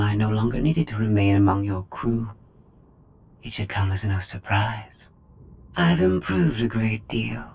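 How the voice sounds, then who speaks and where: An actress playing a calculating female droid in a video game, speaking in a measured, formal, superior manner. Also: a bass voice, a little mellow, a little hiss or hum. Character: cold, calculating female droid, proud of her intellect. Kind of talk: scripted video game acting.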